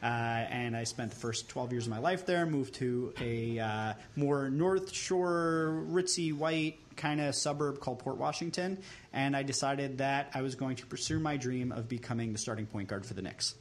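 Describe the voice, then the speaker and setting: A man in his thirties from Long Island chats casually as a podcast host. His middle-pitched voice carries a playful, deadpan humor, and there is a faint noise behind him. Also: normal speed. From Long Island, New York. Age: 30s. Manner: playful, deadpan tall tales. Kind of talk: joking casual chat.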